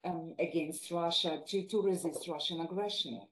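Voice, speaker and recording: A woman's voice: on the low side, unhurried, poor audio. A woman from Ukraine explaining her research as an academic guest in an interview, speaking spontaneously.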